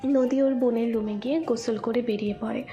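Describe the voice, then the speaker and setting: A woman's voice: on the high side, fairly even intonation, a little fast, dark tone, highs cut off, faint music behind. A woman narrating a scripted romantic audio story in the third person.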